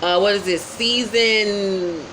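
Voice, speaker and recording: Sassy woman host doing a blunt, profane gossip recap; bright, mid-pitched voice. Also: slow pace, slight background noise, low-quality recording.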